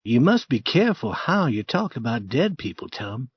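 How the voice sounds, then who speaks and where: A man's voice: mid-range voice, sing-song, moderate pace, slightly rough, lo-fi sound. A man voicing a superstitious, timid teenage boy who sounds shaky and worried.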